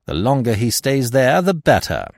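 A man's voice: mid-range voice, very animated, normal speed, creaky, no room sound, voice pushed up. A man playing an arrogant male police detective who speaks rudely and with contempt. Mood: scornful. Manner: rude and disdainful.

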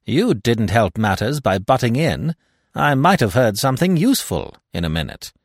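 A sneering, arrogant detective, as a male reader voices him, curt and dismissive, jumping from haughty highs to scornful lows. The read is dry and close. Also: sing-song, normal speed, no room sound. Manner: rude and disdainful.

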